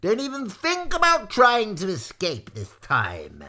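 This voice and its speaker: A storyteller voicing an excitable, greedy villain who gloats theatrically and shrieks in a drawn-out way. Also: mid-range voice, pitched above the performer's own voice, heavily acted. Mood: gloating, menacing.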